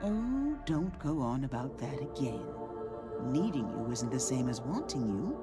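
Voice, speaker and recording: A dry, teasing low-voiced executive. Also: female voice, very animated, a little slow, exaggerated voice. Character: cool, teasing female corporate board leader. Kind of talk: video game dialogue. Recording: game audio with loud music behind.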